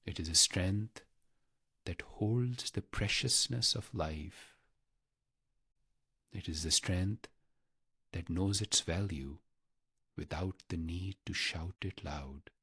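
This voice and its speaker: A man guiding a meditation in a measured, reverent manner, pausing deliberately between short phrases. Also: deep voice, bright, forceful tone, slightly rough, no room sound, studio quality. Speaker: meditation guide. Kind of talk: guided meditation narration.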